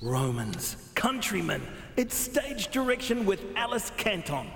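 A man declaiming theatrically in a drawn-out way, as in a programme jingle. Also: high-pitched, sing-song, gravelly, fairly bright tone. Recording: roomy, reverberant, noisy jingle.

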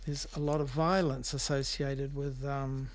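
A man hosting a music show on radio, talking casually and off the cuff in a halting, rambling, hesitant way. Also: mid-range voice, level tone. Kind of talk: casual, unscripted back-announcing of records.

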